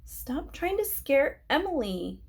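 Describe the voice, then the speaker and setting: A woman's voice: high-pitched, sing-song, slow, gravelly, pitched above the performer's own voice, heavily acted. A woman voicing a snippy, competitive schoolgirl know-it-all in a children's storybook. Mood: bossy.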